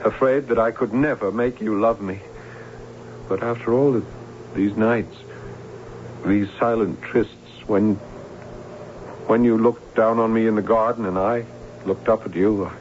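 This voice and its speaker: An actor playing an earnest young nobleman confessing his love haltingly, in the style of 1970s radio drama. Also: fairly low pitch, fairly even intonation, a little mellow, slight background noise, lightly acted. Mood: fearful, ardent. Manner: halting, repeats words, earnest. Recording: muffled vintage radio recording with music.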